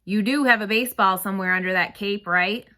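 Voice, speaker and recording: A woman voicing a gruff, grudgingly kind male bus driver in a storybook read-aloud, with a brusque, wry, grumbling manner. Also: medium pitch, a little fast, sharp tone, natural voice.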